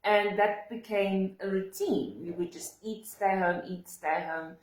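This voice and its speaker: A woman's voice: mid-range voice, measured pace, roomy sound, rough recording. A young South African woman whose first language is Afrikaans tells a personal story to camera with earnest emotion, often restarting her sentences. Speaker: vlogger.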